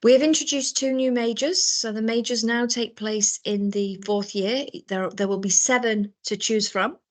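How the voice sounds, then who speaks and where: A woman's voice: a little high, normal speed. A British woman, a professor, presenting a business school program in a live information session, explaining things with frequent ums and restarts. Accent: British English. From Britain.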